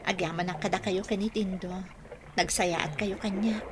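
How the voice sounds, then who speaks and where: A woman's voice: mid-range voice, steady tone, normal speed, a little hiss or hum, close to an everyday voice. A woman with an Ilocano accent playing a sorrowful older woman who leans on her friends in a radio drama. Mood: relaxed. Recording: crowd chatter behind.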